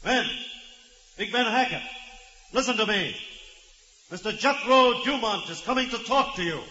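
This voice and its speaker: A commanding prison warden from 1940s radio drama, projecting in a high, sharp voice. It echoes through a muffled old recording. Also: male voice, expressive, normal speed, slight background noise. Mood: urgent commanding. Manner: declamatory, projecting to a distance. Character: commanding prison warden negotiating with armed convicts.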